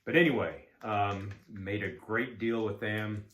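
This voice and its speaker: Slow, deep-voiced man in his seventies. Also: fairly bright tone, roomy sound. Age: 70s. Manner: rambling, frequent ums and uhs, folksy. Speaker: card collecting vlogger. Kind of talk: casual chat.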